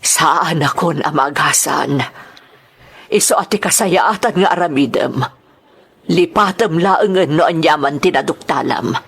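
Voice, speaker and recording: An actress with an Ilocano Filipino accent and husky voice playing a pained, pleading woman in a radio family drama. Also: low-pitched, unhurried, a bit bright, full character voice. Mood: resigned, pained.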